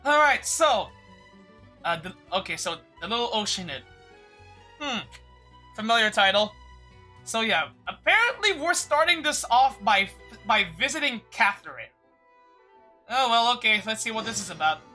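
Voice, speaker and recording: Very bright-voiced woman rambling on stream. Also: a little high, big pitch swings, moderate pace, pauses often, faint music behind. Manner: rambling, stammering, sweary. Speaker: livestreamer. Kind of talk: casual game stream commentary. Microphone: streaming microphone.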